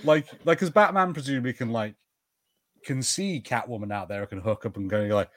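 A man's voice: mid-range voice, lively intonation, brisk, a bit bright. A man co-hosting a podcast, chatting casually over a movie and joking with made-up bits of dialogue. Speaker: podcast co-host. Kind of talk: casual watch-party banter.

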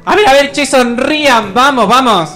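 A man's voice: extremely high pitch, moderate pace, sharp tone, faint music behind. A man with an Argentine accent voicing a bossy, scornful older woman in a comic radio play, shrieking. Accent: Argentine, Rioplatense. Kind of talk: comic radio theatre parody.

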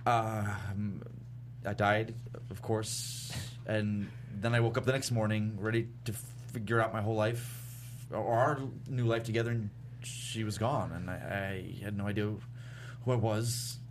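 A man acting an awkward, self-pitying young male vampire in a comedy script read, halting and sardonic. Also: lowish voice, fairly even intonation, natural voice. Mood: sad, awkward. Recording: clear background noise and hum.

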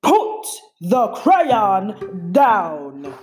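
Hulking male storybook monster, played by a woman: growling, bossy, short-tempered, swinging widely in pitch. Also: very animated, extremely slow, a little mellow, soft background music. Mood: gruff, bossy.

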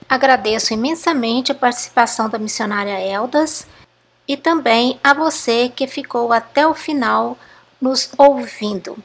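A woman's voice: a little high, a bit bright, slightly rough. A woman with a Brazilian accent speaking as a podcast host in a scripted sign-off style.

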